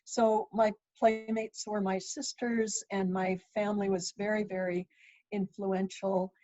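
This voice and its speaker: A woman in her sixties who grew up in Ethiopia, answering interview questions thoughtfully with frequent pauses and restarts. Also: medium pitch, little pitch movement, mellow. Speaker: author and interviewee. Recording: dull lo-fi sound.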